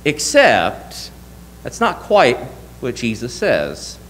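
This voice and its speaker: A man's voice: mid-range voice, wildly swooping pitch, slow pace, a bit bright, slight background noise. A young man preaching a sermon from a church pulpit, earnest and emphatic, often asking rhetorical questions. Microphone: lectern mic, wide camera.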